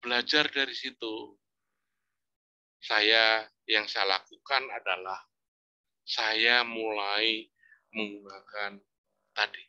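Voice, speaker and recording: Bright-voiced older professor telling stories. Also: male voice, fairly low pitch, slow, pauses often, smooth, highs cut off. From Indonesia. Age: 60s. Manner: storytelling, lively rising emphasis, religious exclamations. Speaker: psychology professor giving a talk. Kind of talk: informal religious study lecture.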